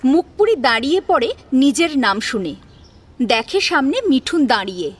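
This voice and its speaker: A woman's voice: sits high, very animated, moderate pace. A woman reading a dramatic audio story as its narrator. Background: background music.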